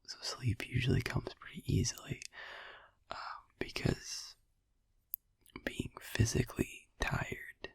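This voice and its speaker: A man playing a sleepy, gentle, reassuring vampire boyfriend in a whispered sleep-aid audio roleplay, rambling in a hesitant, breathy, husky murmur. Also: medium pitch, huge pitch swings, dry recording, full character voice. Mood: drowsy, rambling. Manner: rambling, hesitant, halting, drowsy.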